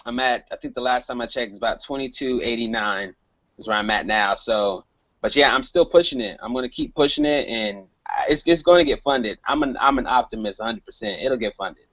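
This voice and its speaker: A man's voice: medium pitch, fairly quick, fairly bright tone, dry recording, dull audio. A man being interviewed about his comic project, talking casually with upbeat, optimistic enthusiasm. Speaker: manga artist being interviewed.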